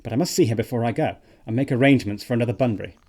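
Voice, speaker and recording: A woman playing a flippant, lovestruck young gentleman with a suave, theatrical manner in an audio production of a classic comedy. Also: rumbling low, racing. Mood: flippant, self-satisfied. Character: flippant, ardent young dandy gentleman in love.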